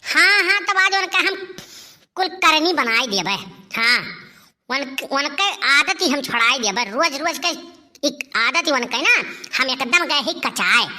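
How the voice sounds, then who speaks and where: A bossy, fiery middle-aged village wife in a cartoon comedy , acted out by a woman. Her voice is high and very sharp, and she scolds and calls out in an Awadhi accent. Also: lively intonation, measured pace, exaggerated voice. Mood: scolding. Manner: scolding, loud calling.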